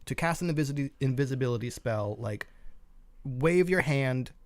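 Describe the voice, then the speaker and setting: A man with a medium-pitched voice gives casual, opinionated commentary as a gaming show co-host. He explains things informally in loose asides, recorded with a very dry sound. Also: expressive, normal speed, dry recording.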